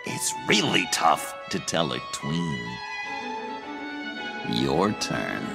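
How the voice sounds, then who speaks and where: An actor playing a manic, gleefully menacing clown villain in a video game, theatrical, taunting and erratic. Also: male voice, rumbling low, very animated, extremely slow, creaky, exaggerated voice. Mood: sinister glee. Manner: theatrical, taunting, erratic swings from hush to shouting. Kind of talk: dramatic video game acting. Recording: dramatic music under the voice.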